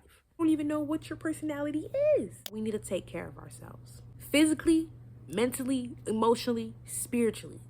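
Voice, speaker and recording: A young woman with a stuffy nose giving an earnest, preachy motivational talk straight to her viewers. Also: mid-range voice. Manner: earnest, preachy, direct address. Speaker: vlogger.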